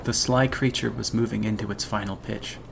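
A man's voice: on the low side, fairly even intonation, brisk, vocal fry, slight background noise. A man narrating a horror story in a dramatic radio drama style. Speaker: narrator and actor.

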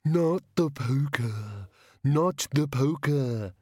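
A man's voice: mid-range voice, expressive, drawn-out words, mellow, slightly rough, no room sound, clean studio sound, voice pushed up, exaggerated voice. A man voicing a whining, pleading bogeyman monster for an audiobook. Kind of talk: audiobook character voice.

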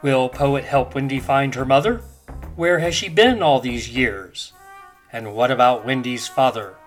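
Low-voiced woman, slow suspenseful serial narrator. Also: soft background music. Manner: suspenseful, theatrical. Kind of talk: scripted radio serial narration.

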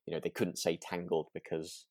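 A man's voice: low-pitched, monotone, fairly quick, darkish tone, dry recording, studio quality. A man, chatting informally as a podcast co-host and often checking for agreement. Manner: informal, tag questions seeking agreement. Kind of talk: casual chat about a radio drama.

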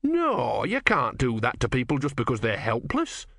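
A man voicing an earnest, slow-witted young novice who questions things anxiously and hesitantly in an audiobook story. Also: medium pitch, very animated, a bit bright, dry recording, clean studio sound. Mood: appalled, protesting.

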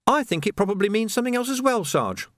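A man's voice: very high-pitched, huge pitch swings, measured pace, a bit bright, no room sound, clean studio sound, voice pushed up, heavily acted. A man voicing an eager young male lance constable who is cheeky and sniggering.

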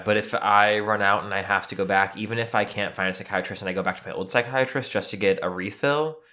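A man co-hosting a podcast in casual chat, congested and sniffly from allergies, talking in a relaxed, conversational way. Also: deep voice. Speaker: podcast co-host.

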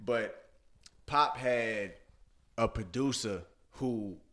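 A man's voice: mid-range voice, extremely slow, a bit bright. A man co-hosting a podcast, chatting casually in slang and repeating phrases for emphasis. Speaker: podcast co-host.

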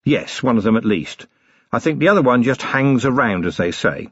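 Man as husky, dry policeman husband. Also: medium pitch, animated, normal speed, no room sound, muffled recording. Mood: matter-of-fact. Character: dry, dutiful middle-aged policeman husband. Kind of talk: audiobook character voice.

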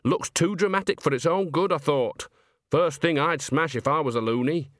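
A man's voice: fairly high pitch, normal speed, fairly bright tone, no echo, clean studio sound, pitched above the performer's own voice, lightly acted. A man voicing a chatty, homely, cheeky old woman witch in an audiobook, folksy and rambling. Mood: chatty, sly. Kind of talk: audiobook character acting.

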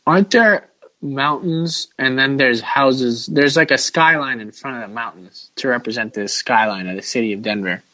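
A man's voice: medium pitch, normal speed, pushed and bright, rough recording. Man co-hosting a casual sports podcast. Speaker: podcast host.

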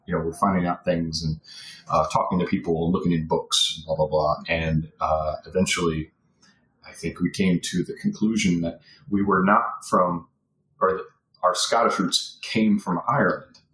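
A man's voice: low-pitched, fairly bright tone, echoey room, rough recording. A man talking as a podcast guest in casual, rambling talk with many fillers and restarts.

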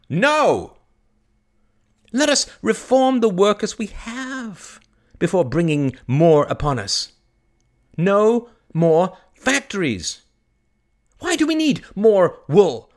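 A zealous village priest thunders from the pulpit in a raised, animated voice, hammering out rhetorical questions. A man performs him while reading a story aloud. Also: high-pitched, pitched above the performer's own voice, heavily acted. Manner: impassioned, sermonizing, rhetorical questions. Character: fiery preaching village priest, male, middle-aged.

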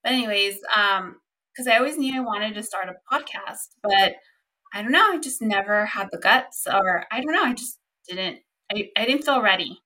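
Bright-voiced chatty young woman podcaster. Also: expressive, moderate pace, poor audio. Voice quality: squeaky voice. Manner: chatty, earnest, lots of fillers like "um" and "like". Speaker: podcast host. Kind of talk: casual solo podcast talk.

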